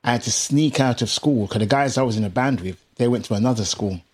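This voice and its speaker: A middle-aged English musician with a British accent touched by Jamaican Caribbean tones, telling stories casually in an interview and laughing often. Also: male voice, medium pitch, fast, breathy edge. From England. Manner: laughs often, animated anecdotes. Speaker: musician guest.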